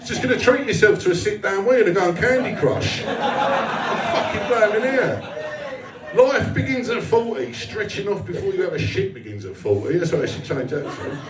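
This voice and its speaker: Animated comedian, roomy club sound. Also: male voice, sits high, moderate pace, over a noisy crowd. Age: 40s. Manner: sweary, blunt, chatty, self-deprecating. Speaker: stand-up comedian. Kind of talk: live stand-up comedy with crowd banter. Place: small indoor comedy venue with a live audience. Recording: roomy live club, distant mic, audience noise.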